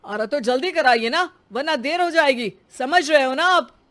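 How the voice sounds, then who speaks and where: A woman's voice: high voice, animated, moderate pace, fairly bright tone, voice pushed up. A woman voicing a greedy, haughty father of the groom in a cartoon story, talking in a demanding and threatening way. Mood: angry, threatening.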